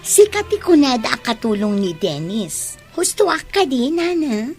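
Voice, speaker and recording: A woman's voice: on the high side, sing-song, normal speed, darkish tone. An actress playing an inquisitive young woman in a radio comedy drama, with an Ilocano Filipino accent. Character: inquisitive young unmarried woman, politely probing. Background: music.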